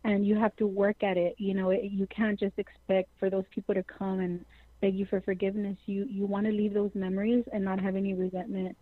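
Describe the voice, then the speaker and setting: A woman's voice: medium pitch, little pitch movement, a little fast, dry recording, narrow, tinny audio. A woman in her forties, a singer joining an interview over the phone, talking effusively and peppering her sentences with "you know". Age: 40s. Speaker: singer, guest. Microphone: phone line.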